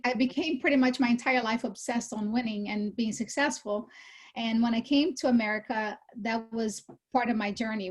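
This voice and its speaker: Brazilian-born woman telling her story. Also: a little high. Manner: animated storytelling with laughs and self-corrections. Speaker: guest, real estate CEO. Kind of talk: casual interview chat.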